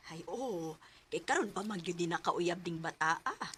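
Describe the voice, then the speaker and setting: An actress playing a caring, meddling middle-aged mother with a husky voice and a Cebuano accent in a radio drama. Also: on the low side, animated, normal speed, a bit bright, heavily acted. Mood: approving. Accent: Cebuano Filipino. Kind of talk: Bisaya radio drama acting.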